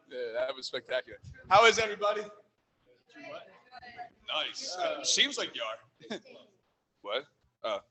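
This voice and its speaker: A man's voice: fairly high pitch, poor audio. A man hosting a team meeting, opening it with upbeat remarks to the room and bringing up the first speaker. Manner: upbeat, animated emcee. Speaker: meeting host.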